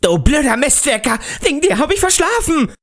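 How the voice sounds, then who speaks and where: An actress playing a clumsy, awkward, lovestruck schoolboy in a children's radio play. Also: female voice, sits high, very animated, a little fast. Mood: frantic. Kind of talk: German children's audio drama acting.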